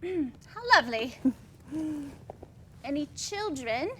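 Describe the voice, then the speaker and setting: A woman in a staged play reading, playing a genteel, fussily polite hairdresser given to bright exclamations. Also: sits high, animated, slow, exaggerated voice. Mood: bubbly, chatty. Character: chipper, polite hairdresser woman in a soap-opera-era salon. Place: children's theatre stage, filmed staged reading.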